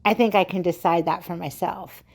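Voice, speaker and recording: A woman's voice: lowish voice, steady tone, normal speed, vocal fry, a little mellow. A woman playing a determined, businesslike investigator in a radio drama. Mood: firm, businesslike. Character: determined woman investigator seeking missing people.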